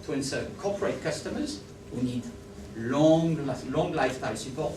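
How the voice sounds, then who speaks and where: A man's voice: a little high, moderate pace, background noise. A man with a French accent giving a conference talk and often asking the audience if they follow. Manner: animated, asks the audience for agreement. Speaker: conference speaker. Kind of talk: presenting a talk. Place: large conference hall. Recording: roomy hall sound from a distance.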